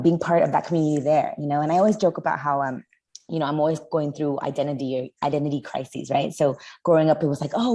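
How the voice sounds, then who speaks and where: Low, mellow woman producer, Vietnamese speaker, chatty and animated. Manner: chatty, animated, full of fillers. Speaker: film producer. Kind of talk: casual interview talk.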